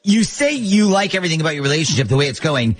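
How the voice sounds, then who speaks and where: A man's voice: a little high, sing-song, rapid-fire, a little hiss or hum. A man hosting a morning radio show, bantering with a caller in an upbeat phone-in style.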